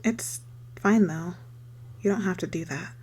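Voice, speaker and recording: A woman playing an unstable, lovesick young circus girl turned kidnapper in an intimate ASMR roleplay, speaking haltingly in a breathy voice that slips into whispers. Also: on the low side, a little mellow. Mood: coy, unsettling. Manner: intimate, halting, stammering, swinging from sweet to desperate. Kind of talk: scripted ASMR roleplay monologue.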